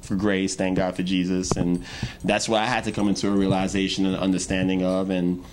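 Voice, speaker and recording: A man's voice: low voice, level tone, brisk, background noise. A young man telling his story in a casual interview, rambling with many fillers and restarts. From Trinidad and Tobago. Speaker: guest, hip-hop artist.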